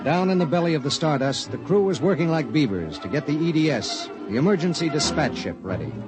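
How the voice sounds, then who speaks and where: A man's voice: fairly high pitch, animated, fairly quick, natural voice. An actor in a 1950s radio drama playing a weary, dutiful male spaceship pilot, grave in narration and clipped in dialogue. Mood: grave, reflective. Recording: old 1950s radio recording with music.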